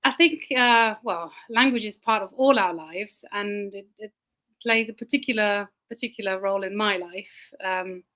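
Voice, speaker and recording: A woman who grew up in Germany with German as her first language, a teacher giving a casual talk over a video call, chatty and quick to laugh. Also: medium pitch, fairly bright tone. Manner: chatty, laughs often, repeats words while thinking. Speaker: guest speaker, teacher.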